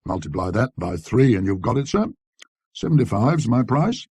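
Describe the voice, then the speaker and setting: A shrewd old-fashioned shop dealer acted by a male narrator talks in folksy, deferential patter. His slightly husky voice swings up and down as he sells, like a character in an audiobook tale. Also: mid-range voice, big pitch swings, normal speed, darkish tone, no room sound, studio quality, voice pushed up. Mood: persuasive, earnest. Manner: folksy ungrammatical salesman's patter.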